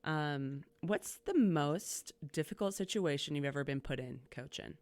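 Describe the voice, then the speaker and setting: A woman hosts a casual podcast, chatty and upbeat, stumbling into quick restarts as she asks her questions. Her voice is low and gravelly yet smooth. The sound is dry, with no room echo. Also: darkish tone. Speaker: podcast host, community college coach. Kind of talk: casual interview conversation.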